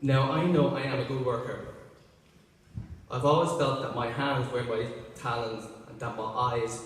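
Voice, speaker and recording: A middle-aged Irish man delivers a prepared speech slowly and deliberately, each phrase set apart. He is heard from far off in a large ceremony hall. His voice trails into a long, roomy reverb. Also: mid-range voice, steady tone, a little hiss or hum. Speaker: graduate giving a speech.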